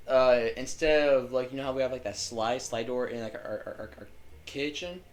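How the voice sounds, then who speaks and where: A man's voice: medium pitch, moderate pace. A young man co-hosting a casual podcast, rambling and stumbling over words, swearing freely. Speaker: podcast co-host. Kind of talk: casual chat.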